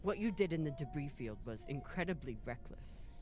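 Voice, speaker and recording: A woman's voice: deep voice, expressive, moderate pace, mellow, soft background music. An actress playing a guarded, blunt woman captain in a dramatic sci-fi video game. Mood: dry, blunt. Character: guarded, stern, blunt female space captain.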